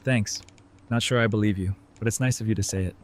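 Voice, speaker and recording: A man playing Sadiq, a guilt-ridden former ER resident who talks in a quiet, guarded way in a fan audio drama. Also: fairly low pitch, steady tone, fast, lightly acted.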